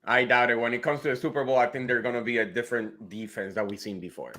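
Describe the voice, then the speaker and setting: A man co-hosting a casual podcast, playfully arguing his point in a measured, good-humored way. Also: mid-range voice, steady tone, pushed and bright. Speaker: podcast co-host. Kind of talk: casual chat.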